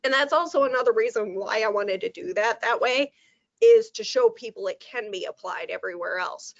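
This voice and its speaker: A woman with a slightly hoarse, sore throat, chatting at length on a video call and laughing easily. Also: medium pitch, sing-song, brisk, no room sound. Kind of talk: casual video call update. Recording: dull lo-fi video call audio.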